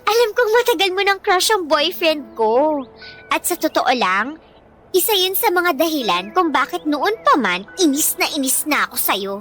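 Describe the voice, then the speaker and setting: A woman's voice: sits high, big pitch swings, moderate pace, fairly bright tone, soft background music. A woman playing a mean, haughty teenage schoolgirl bully in a radio drama, taunting and sneering theatrically with a Filipino accent. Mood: contemptuous.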